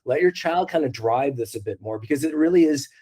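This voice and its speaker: A man speaking as a school counselor on a panel, a little hoarse from a cold, earnest, often restarting his sentences and laughing softly. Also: mid-range voice, expressive, a little fast. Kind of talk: panel discussion.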